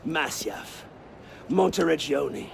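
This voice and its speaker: An actor playing a bitter, fanatical older assassin in a video game, gravelly and emphatic, speaking with defiant rhetoric. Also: male voice, fairly high pitch, expressive, a little hiss or hum, full character voice. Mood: grave, hushed. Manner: slow, emphatic, rhetorical delivery. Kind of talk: dramatic video game acting.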